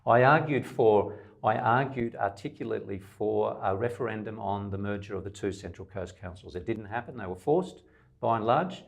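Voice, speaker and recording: Gravelly Australian man making his political case. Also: on the low side, moderate pace. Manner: emphatic, speeches in long lists, occasional stammered restarts. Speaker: independent political candidate. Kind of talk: campaign interview answers.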